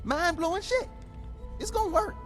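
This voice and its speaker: An actor playing a cocky, excitable tinkerer in a game, brash and slangy. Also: male voice, very high voice, moderate pace. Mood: excited. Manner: brash, animated. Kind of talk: game cutscene acting. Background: music.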